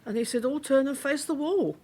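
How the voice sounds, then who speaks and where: A woman's voice: sits high, fairly quick, warm tone, natural voice. A woman acting a flustered, indignant ordinary witness in a radio drama, rambling and defensive. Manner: rambling, defensive, matter-of-fact.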